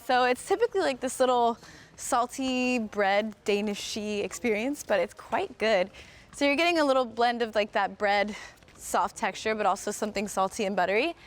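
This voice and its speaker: An American woman hosting a live-streamed walking tour outdoors, talking with viewers in a chatty, upbeat way and thinking aloud with lots of fillers. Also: fairly high pitch. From United States. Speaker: host. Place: outdoors, walking around a historic site.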